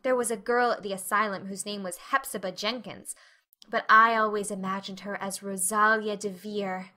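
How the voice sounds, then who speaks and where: A woman's voice: medium pitch, fairly even intonation, normal speed, pushed and bright, natural voice. A woman voicing a dreamy, talkative, imaginative orphan girl in a dramatic audiobook reading, with a rapturous, effusive manner. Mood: chatty, wistful.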